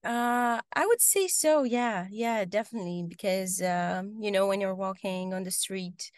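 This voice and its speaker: A young woman from Romania with a Romanian accent, answering interview questions casually on a video call, often pausing with ums and restarting sentences. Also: mid-range voice, clean tone, no echo, clean studio sound. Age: young adult. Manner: hesitant, many ums and restarts. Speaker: guest. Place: home room, video call.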